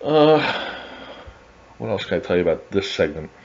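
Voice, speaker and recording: A middle-aged man with a low voice talks casually over his photos, often pausing on fillers and restarting his sentences. The recording is dull and lo-fi, lacking crisp top end. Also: sing-song. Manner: halting, many uh fillers and restarts. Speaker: hobby car restorer narrating his project.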